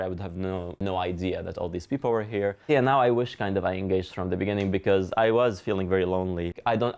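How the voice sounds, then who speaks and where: A man from Syria in his thirties, speaking reflectively and softly in an interview, with many hedging fillers. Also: deep voice, creaky. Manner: soft-spoken, hedging with fillers. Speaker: interviewee, concert organizer.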